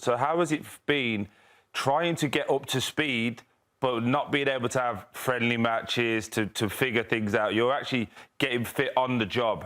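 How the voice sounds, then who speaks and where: A man's voice: mid-range voice, fairly bright tone. A man working as a sports pundit and ex-player, asking questions conversationally. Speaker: sports show pundit, former professional player.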